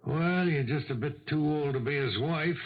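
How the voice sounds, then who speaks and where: An actor playing a wry, unflappable police detective with a dry, insinuating manner, in 1970s radio drama style. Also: male voice, on the high side, moderate pace. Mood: wry, probing. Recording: muffled old radio recording, faint noise.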